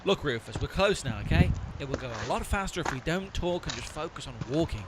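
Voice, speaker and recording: Bossy, tight-fisted straight man played by a man, brisk and curt, for a comedy audio play, faint noise. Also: fairly high pitch, lively intonation, close to an everyday voice. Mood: dry, exasperated. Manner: dry, curt. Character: exasperated, stingy, bossy straight man of comic duo. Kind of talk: amateur audio comedy acting.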